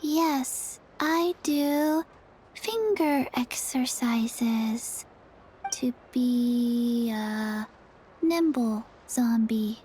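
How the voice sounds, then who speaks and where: A woman voicing a small, dazed zombie girl in a video game, speaking haltingly and deadpan in short earnest phrases. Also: a little high, slight background noise, low-quality recording.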